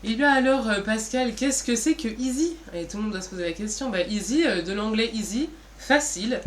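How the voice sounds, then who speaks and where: A woman's voice: a little high, lively intonation, brisk, a little hiss or hum. A woman co-presenting in an informal, didactic way, posing questions and then answering them. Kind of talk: informal explanatory business presentation.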